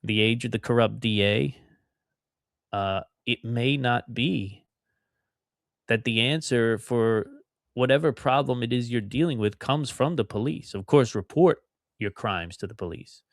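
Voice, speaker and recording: A man's voice: mid-range voice, measured pace, no echo, studio quality. A middle-aged man from outside Philadelphia hosting a solo podcast, talking in a rambling, conversational way with emphatic repetitions. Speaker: podcast host.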